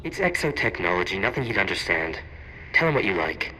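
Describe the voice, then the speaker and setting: An actor playing a sly, know-it-all tech expert in a video game, brisk and dismissive, through a radio filter. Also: male voice, deep voice, very animated, pushed and bright, exaggerated voice, poor audio. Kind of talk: video game dialogue acting.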